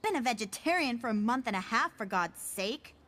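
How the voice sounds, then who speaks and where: An actress playing a sassy, dramatic fourteen-year-old girl in a motion comic, with an exasperated, expressive delivery. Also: on the high side, fairly quick. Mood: exasperated, sulky. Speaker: voice actor.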